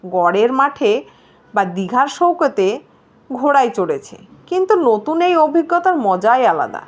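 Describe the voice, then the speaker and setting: A woman narrates a story from a script. Her voice sits high and moves through wide, lively swings of pitch as she reads. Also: unhurried. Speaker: story reader.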